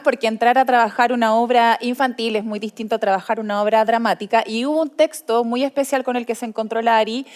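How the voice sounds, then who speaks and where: A woman with a Chilean accent co-hosting a live talk, explaining things hesitantly and searching for words. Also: on the high side. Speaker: event co-host.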